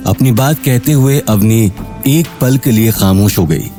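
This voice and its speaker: एक आदमी ऑडियो कहानी को नाटकीय अंदाज़ में, लिखी हुई पटकथा से सुना रहा है।. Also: male voice, on the low side, measured pace, dark tone, faint music behind. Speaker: narrator. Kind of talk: dramatic scripted story narration.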